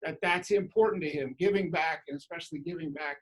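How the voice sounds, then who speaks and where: A man hosting an awards ceremony over a video call, speaking informally with halting, hesitant phrasing. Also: on the high side. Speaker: academic department head hosting awards. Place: indoors, virtual event on video call.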